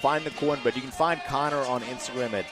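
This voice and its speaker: A man's voice: mid-range voice, fast talker. A man hosting a podcast, bantering casually and reading out offbeat headlines. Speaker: podcast host. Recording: music playing behind the talk. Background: music.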